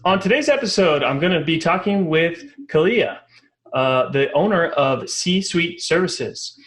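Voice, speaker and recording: A man's voice: a little high, animated, a little slow, a bit bright, soft background music. A man hosting an interview podcast, asking loose, rambling questions and restarting his sentences. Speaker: podcast host and company founder.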